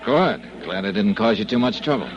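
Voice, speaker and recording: Guy acting a tough wry american detective, lowish voice, slangy and clipped, old muffled 50s radio show. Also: animated, fairly quick, background noise, natural voice. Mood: brisk, wry. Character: wry, tough, businesslike male American investigator.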